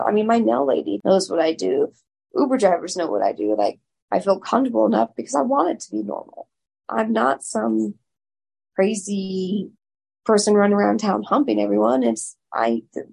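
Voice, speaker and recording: Woman, raspy, gravelly fry, husky, loud, frank and open. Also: mid-range voice, lively intonation, moderate pace, poor audio. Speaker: interview guest. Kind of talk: candid personal interview.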